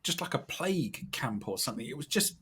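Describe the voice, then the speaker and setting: A British man with a slightly scratchy, croaky voice, chatting casually as a podcast guest in a wry, self-deprecating, animated way. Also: medium pitch, fairly quick. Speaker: podcast guest, recruitment company director. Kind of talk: casual chat.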